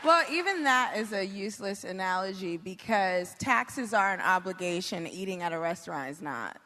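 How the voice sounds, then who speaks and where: A woman's voice: mid-range voice, expressive, normal speed, sharp tone, in a large hall, slight background noise. A female comedian in casual panel chat, blunt and slangy.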